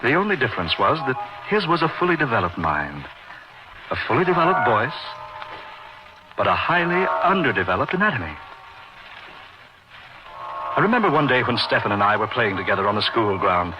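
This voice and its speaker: An actor playing a haunted, intense man recounting his strange past in an old radio horror drama, with florid theatrical delivery and dramatic pauses. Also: mid-range voice, big pitch swings, natural voice. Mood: grave, remembering. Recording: dull old radio recording with music behind. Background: dramatic music.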